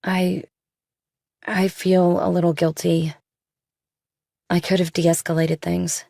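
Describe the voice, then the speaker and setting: Young professional woman, uneasy and tentative, acted by a woman. Audiobook dialogue, gravelly fry, dry recording. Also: lowish voice, level tone, takes it slowly, mellow, dry recording, full character voice. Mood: uneasy, defensive.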